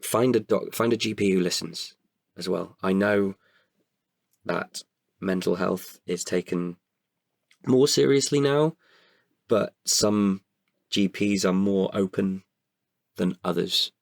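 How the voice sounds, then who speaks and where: A man with a very low voice full of gravelly creak. He speaks as an interview guest, candid and hesitant, feeling his way through each answer and doubling back on words. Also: no echo. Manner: hesitant, halting delivery with repeated words. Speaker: podcast guest, writer and performer.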